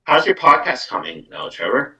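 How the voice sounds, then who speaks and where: A man's voice: mid-range voice, sing-song, normal speed, bright, forceful tone. A man with a Canadian accent hosting a casual live podcast as a psychic medium, chatting and making announcements. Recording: muffled, distant microphone.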